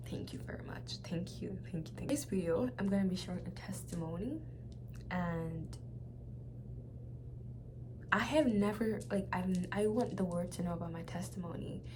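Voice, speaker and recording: A young woman with a Nigerian accent shares a personal testimony in a casual, halting way, often repeating phrases for emphasis. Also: mid-range voice, noisy background. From Nigeria (Lagos). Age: young adult. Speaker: vlogger.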